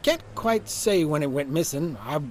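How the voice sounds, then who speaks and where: A man acts out a sneezy, deferential guard for a comic audio drama. His delivery is halting and apologetic, and his pitch moves around a lot. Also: expressive, a little mellow, slight background noise, lightly acted. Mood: nervous, deferential. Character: meek, deferential, sneezy male fair guard.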